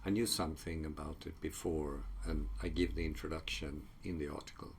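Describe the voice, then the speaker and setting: A very deep, gravelly-voiced man whose first language is Swedish speaks slowly in a casual solo talk. He halts often with fillers and restarts. Faint noise sits under the recording. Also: fairly even intonation. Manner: halting, many fillers and restarts. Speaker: psychology researcher.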